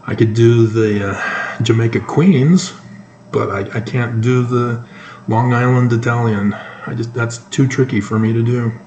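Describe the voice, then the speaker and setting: A man with a North American accent giving informal, sarcastic news commentary, halting often mid-sentence. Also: medium pitch, steady tone, darkish tone. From North America, moved around the United States and Canada. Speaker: solo commentator. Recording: echoey room with faint background noise.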